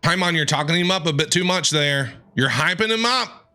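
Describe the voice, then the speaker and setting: A man's voice: fairly high pitch, animated, normal speed, sharp tone, faint music behind. A man doing casual, playful game commentary as a streamer, teasing the characters as he reacts. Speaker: gaming streamer reacting to a story quest.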